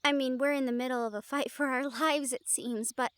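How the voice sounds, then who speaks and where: High-voiced, anxious, tender young woman, acted. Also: fairly even intonation, fairly quick, a bit bright, smooth, dry recording. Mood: sheepish, hesitant. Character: anxious, tender young human woman from a vampire-hunter family. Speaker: audio roleplay performer. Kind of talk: intimate audio roleplay acting.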